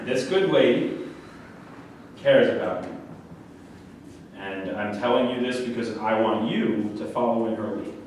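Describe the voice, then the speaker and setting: An actor in a staged reading playing a deluded, self-righteous killer who talks in a grandiose, condescending, pleading way. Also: male voice, on the low side, measured pace, long pauses, a little mellow, close to an everyday voice. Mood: condescending, preachy. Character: deluded, obsessive convicted male killer, preachy and self-righteous. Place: echoey room at a staged reading, far mic. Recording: very echoey, distant, faint room noise.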